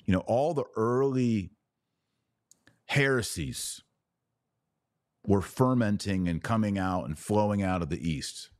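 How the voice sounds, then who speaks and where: A man's voice: lowish voice, slow, long pauses, a little mellow, no echo, clean studio sound. A man hosting a podcast, giving unscripted religious commentary in an emphatic way, repeating phrases for weight.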